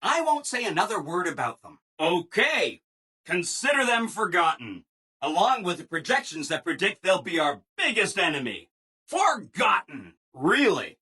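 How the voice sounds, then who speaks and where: Eager-to-please game robot, chipper, gushing, bright forceful tone, swooping pitch. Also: male voice, big pitch swings, moderate pace, breathy edge, heavily acted. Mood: chirpy, eager. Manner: chipper, exaggeratedly agreeable, gushing. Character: relentlessly cheerful, eager-to-please male robot assistant. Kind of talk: video game character dialogue.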